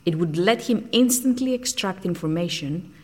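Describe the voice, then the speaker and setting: A woman's voice: fairly low pitch, expressive, moderate pace, darkish tone. An actress playing a frank, socially outcast woman scientist in an audio drama, speaking in a blunt, matter-of-fact way. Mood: dry, frank.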